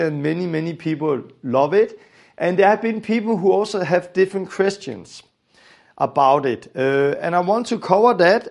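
A man's voice: on the high side, vocal fry. A man from Denmark with a Danish accent, teaching a religious lesson earnestly and repeating phrases for emphasis.

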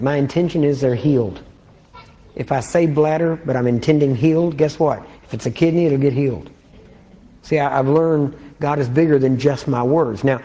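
A man with a Texan accent preaching an informal sermon, keeping it conversational with frequent checks like "amen?" and clearing his throat often. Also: medium pitch, mellow.

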